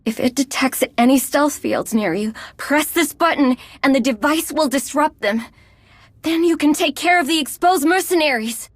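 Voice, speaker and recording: A woman's voice: high voice, moderate pace, no echo, close to an everyday voice. A woman playing an anxious young fugitive inventor in a video game, earnest and emotional.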